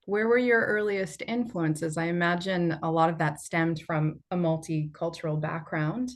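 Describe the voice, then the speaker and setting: A woman hosting a podcast, opening with a scripted introduction before moving into a gentle, inviting interview. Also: on the low side, steady tone, moderate pace. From Canada. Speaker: podcast host.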